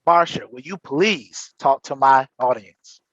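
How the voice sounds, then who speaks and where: A man's voice: medium pitch, slow, a bit bright, no echo. A man hosting an interview, effusive and full of praise for his guest. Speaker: host. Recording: dry, dull lo-fi sound.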